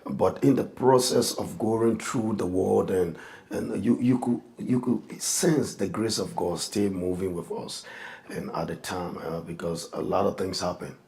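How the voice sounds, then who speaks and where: A man in his fifties, a pastor, telling his life story in a halting, earnest way with many restarts. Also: low voice, gravelly, mellow. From Liberia. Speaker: pastor, guest. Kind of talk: personal testimony in an interview.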